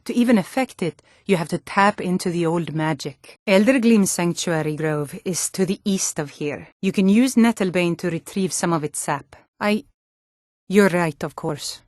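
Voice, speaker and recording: A woman's voice: lowish voice, normal speed, a little mellow, no echo, studio quality, close to an everyday voice. Actress as a firm Nordic fantasy warrior woman. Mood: solemn, reverent. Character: hardy Nordic warrior woman, townsfolk and guards of fantasy realm. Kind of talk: scripted fantasy video game character dialogue.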